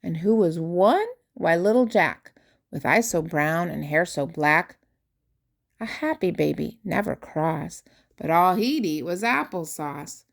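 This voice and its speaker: An older woman, a grandmother, reads a rhyming children's picture book aloud with expressive, theatrical emphasis on key words. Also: on the low side, vocal fry. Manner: theatrical emphasis, drawn-out key words, dropping to hushed asides.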